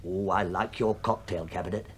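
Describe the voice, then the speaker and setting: A man's voice: sits low, steady tone, normal speed, slightly rough. An actor playing an English detective inspector in a radio play, a falsely affable, crafty middle-aged man who chats, hesitates and mock-apologises as he digresses. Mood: mock-apologetic, rambling.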